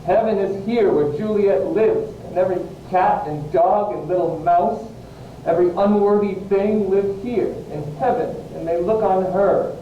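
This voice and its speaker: In a very echoey room, away from the mic, a man plays an anguished young lover from a Shakespeare play. His voice is fairly high and dark, pouring out impassioned verse in a stage-drama reading. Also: a little slow. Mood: anguished, protesting. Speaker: amateur actor, English teacher. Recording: echoey room, muffled, distant mic, faint hiss.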